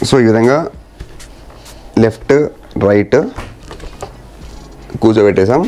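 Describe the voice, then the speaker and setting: A man's voice: on the low side, slow pace, warm tone, soft background music. A man talking informally through a hands-on installation as a vlogger, mixing in English words and phrases. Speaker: motorcycle vlogger. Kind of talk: casual hands-on installation walkthrough.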